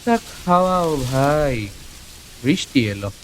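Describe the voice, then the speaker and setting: Brief: proud superstitious village healer, older, rural Bengali dialect, slightly high voice with lively swings, audio drama. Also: male voice, takes it slowly, slight background noise, rough recording. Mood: confiding. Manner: colloquial, boastful, emphatic. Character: boastful folk-healer man, older, chatty, superstitious, proud. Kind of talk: audio story character dialogue.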